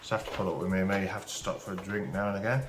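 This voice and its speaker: Hoarse low-voiced man. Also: fast talker, very noisy. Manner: relaxed, chatty, talks through each step. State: hoarse from a cold. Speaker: channel host. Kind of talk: casual hands-on repair commentary. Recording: roomy echo with faint music.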